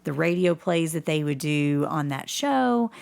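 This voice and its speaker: A woman's voice: low-pitched, animated, unhurried, gravelly, darkish tone, clear, resonant tone. A woman speaking as an enthusiastic guest in a casual interview about her own work. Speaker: podcast guest, community theatre actor and director.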